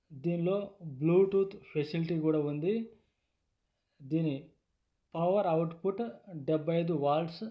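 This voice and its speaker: A man with a slightly high, very even voice presents a product informally. He explains deliberately, phrase by phrase, often repeating himself. The recording is dull and lo-fi. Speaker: YouTube product reviewer. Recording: dull lo-fi sound.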